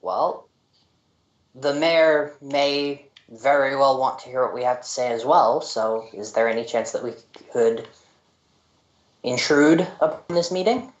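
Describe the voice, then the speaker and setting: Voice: medium pitch, a little slow. A player talking casually during a tabletop game. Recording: roomy, dull lo-fi audio.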